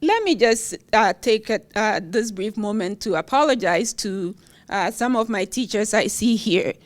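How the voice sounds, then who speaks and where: A woman's voice: medium pitch, lively intonation, unhurried. A middle-aged woman from Ghana with a Ghanaian accent, a professor giving an award acceptance speech at a university event, with frequent laughter and fillers. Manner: warm, laughs often, with fillers. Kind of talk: speech at a podium.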